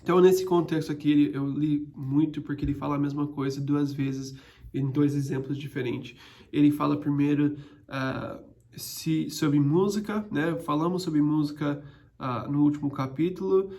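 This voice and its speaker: A young man leads an informal Bible lesson, his voice set a little high and carrying a gravelly fry. He often pauses, restarts and fills gaps with "ah" as he explains the passage. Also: fairly even intonation, dark tone. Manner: hesitant, frequent restarts and fillers. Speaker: Bible teacher. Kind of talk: informal Bible study teaching.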